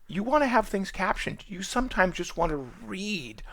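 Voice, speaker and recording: A man from Boston with a Boston accent, giving a college course orientation in quick, rambling speech full of fillers and restarts. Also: sits high, sing-song, a bit bright, dry recording. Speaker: college nutrition instructor. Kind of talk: screen-recorded course orientation.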